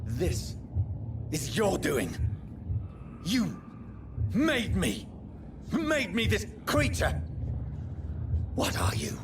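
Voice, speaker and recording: An actor playing a distraught, defiant newly made vampire in a video game, intense, demanding and emotional. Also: male voice, high voice, huge pitch swings, drawn-out words, pauses often, background noise, full character voice. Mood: anguished, accusing. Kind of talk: video game cinematic acting.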